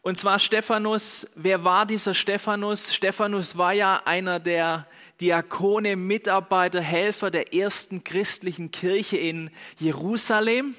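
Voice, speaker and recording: Bright, forceful young preacher, man. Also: high voice, fairly even intonation, a little slow. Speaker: pastor. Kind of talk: sermon. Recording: long hall reverb.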